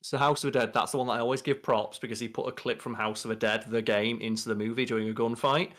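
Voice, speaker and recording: A man's voice: medium pitch, fairly even intonation, quick pace, a bit bright. A young man, a podcast co-host, chatting casually and explaining in a rambling way from a bedroom on a video call. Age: young adult. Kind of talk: casual movie chat.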